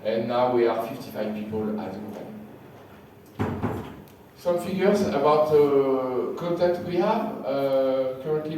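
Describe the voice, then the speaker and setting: A man's voice: mid-range voice, warm tone. Sick French-accented man in a hall. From France. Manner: halting, repeats words. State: sick, losing his voice. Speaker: conference speaker. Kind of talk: conference presentation. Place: large hall. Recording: echoey hall from far off, faint hiss.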